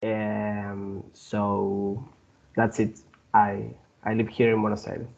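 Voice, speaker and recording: A man from Argentina with an Argentine Spanish accent, a guest in a casual interview who speaks haltingly, with many fillers and restarts. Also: lowish voice, monotone, gravelly, darkish tone, dull audio.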